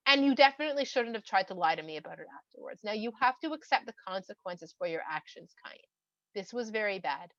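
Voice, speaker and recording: A woman's voice: mid-range voice, animated, quick pace, fairly bright tone, no echo, lo-fi sound, voice pushed down, close to an everyday voice. A woman voicing God as a patient, kindly figure who turns firm when scolding. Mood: stern. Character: God, a patient, kindly, then stern parent.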